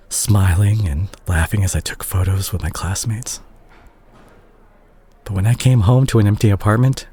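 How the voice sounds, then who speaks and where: A man playing a remorseful, guilt-ridden doctor ex-boyfriend in an intimate romantic audio drama, speaking haltingly and tenderly with a husky voice. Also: sits low, a little mellow. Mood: bitter regret. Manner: halting, emotional, with sighs and repeated words. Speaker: audio roleplay performer.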